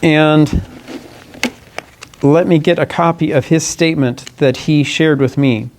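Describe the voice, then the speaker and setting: A man delivers a formal statement as a candidate at a press conference. His voice sits a little high for a man. He speaks earnestly and deliberately, sometimes stumbling and correcting himself as he reads or explains. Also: fairly even intonation, a little mellow, a little hiss or hum. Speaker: congressional candidate. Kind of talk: press conference statement, partly read aloud.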